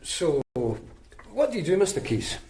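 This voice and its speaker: An actor playing a calm police detective in a radio drama, asking blunt, persistent questions. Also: male voice, high-pitched, takes it slowly, a little hiss or hum, full character voice, low-quality recording. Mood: probing.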